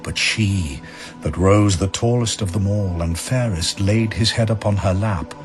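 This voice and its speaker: A man narrating dramatic verse with grave, measured, theatrical phrasing. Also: fairly low pitch. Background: faint music.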